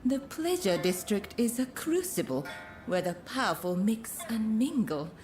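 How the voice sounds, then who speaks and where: A poised, coy woman of the pleasure quarter in a game drama. She speaks slowly and suggestively, in measured phrases with knowing pauses. Soft music plays underneath. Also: fairly high pitch, sing-song. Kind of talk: dramatic video game dialogue.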